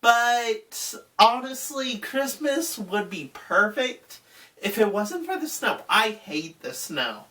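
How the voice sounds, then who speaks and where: A woman's voice: on the high side, sing-song, takes it slowly, fairly bright tone, distant mic. A young woman from Utah, congested from a cold, chatting casually about video games she recommends, rambling with lots of fillers. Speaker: gaming channel host.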